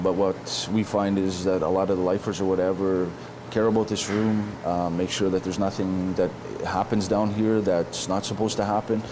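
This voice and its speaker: A man, an inmate chairing a lifers group, answering interview questions haltingly with many pauses and restarts. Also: low voice, background noise.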